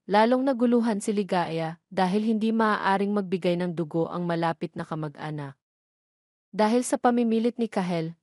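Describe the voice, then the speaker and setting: A woman's voice: mid-range voice, a little mellow, no room sound, studio quality. A woman reading scripted narration of a romance drama as a storyteller.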